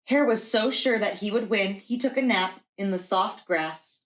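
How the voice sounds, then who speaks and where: Female preschool teacher, mid-pitched voice, reading a storybook aloud to young children. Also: moderate pace, a bit bright.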